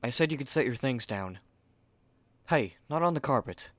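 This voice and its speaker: A man's voice: mid-range voice, lively intonation, normal speed, no echo, close to an everyday voice. A man playing a haughty, fussy young manga artist in an ASMR roleplay, speaking curtly and articulately to the listener on a close mic.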